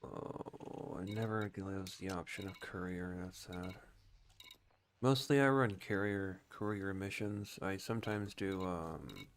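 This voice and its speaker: A man's voice: deep voice, slow pace, slight background noise. A man giving casual live-stream commentary on a game, musing and thinking aloud.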